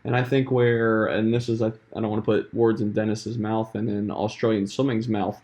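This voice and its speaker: A man's voice: fairly low pitch, level tone, normal speed, darkish tone. A man who works as a sports scientist, co-hosting a casual podcast chat and explaining things at length.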